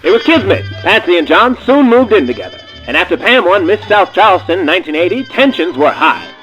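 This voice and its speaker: A dramatic storyteller played by a man narrating a comic radio play over soft music. His fairly high voice moves widely in pitch, with a dry, mock-biography delivery. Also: sing-song, a little fast, rough recording. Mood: mock solemn. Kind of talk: scripted narration.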